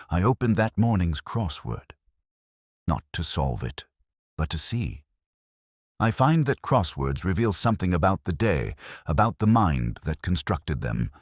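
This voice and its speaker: Male narrator for detective fiction: very deep, gravelly, brooding and measured, recorded close and dry. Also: no echo. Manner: measured, brooding, literary delivery. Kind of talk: scripted first-person mystery narration.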